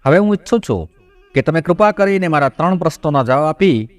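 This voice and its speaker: A man's voice: fairly high pitch, lively intonation, fast talker, no echo, soft background music, lightly acted. A man voicing a respectful, curious goddess who politely and earnestly seeks answers in a devotional story. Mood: earnest, puzzled.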